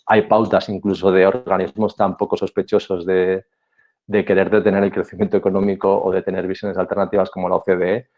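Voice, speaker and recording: A man giving a conference talk as a panel speaker, measured and explanatory, with some hesitations. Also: low voice, flat delivery, dull audio.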